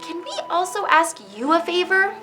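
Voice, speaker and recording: A woman's voice: very high voice, moderate pace, pushed and bright, faint music behind. A woman playing an eager, courteous magical creature in a children's reader's theater play.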